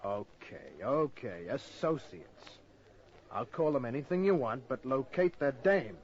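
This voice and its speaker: An actor playing a tough, wisecracking private detective in a clipped, dry, world-weary manner, in vintage radio noir style. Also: male voice, medium pitch, big pitch swings, measured pace. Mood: wry, threatening. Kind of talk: 1950s radio noir acting and narration. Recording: dull vintage radio recording.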